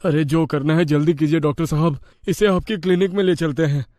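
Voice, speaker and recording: A man voicing an anxious, excitable village brother-in-law in a cartoon story, flustered and urgent. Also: a little high, brisk, dry recording, clean studio sound, natural voice. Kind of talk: cartoon moral story acting.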